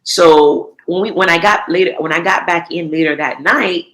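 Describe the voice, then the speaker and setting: A woman's voice: lowish voice, moderate pace, a bit bright. A woman in her thirties, an entrepreneur from the United States, chatting in an interview with lots of restarts and fillers. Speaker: recycling entrepreneur, guest. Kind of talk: casual interview talk.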